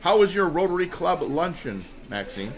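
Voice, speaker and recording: Guy doing a suave rich detective, radio mystery style, highish voice, really animated. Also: moderate pace. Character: rich, suave male amateur sleuth, curious and dryly playful. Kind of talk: radio mystery drama acting.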